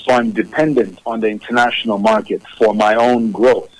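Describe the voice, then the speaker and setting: A man in his thirties from Zambia, a musician speaking from his own studio in a casual, measured interview, often repeating words as he thinks. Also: on the low side, steady tone, no room sound, rough recording. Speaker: musician guest.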